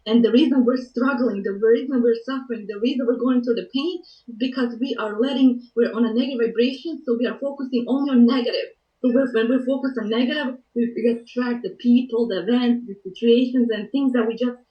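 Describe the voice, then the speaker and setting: A woman from Bosnia tells her personal story as a guest, earnest and emotional and softly spoken. She sits some distance from the mic in a roomy space. The sound is dull and muffled. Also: on the high side, brisk. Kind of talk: personal story on a talk show.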